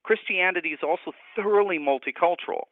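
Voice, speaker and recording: A man's voice: high-pitched, fast talker. Heard over a thin, phone-like line with no room echo, a man talks as a scholarly radio guest. He explains his ideas conversationally, in a reflective and academic manner. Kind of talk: conversational analysis in a radio interview. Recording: narrow, tinny phone-like line.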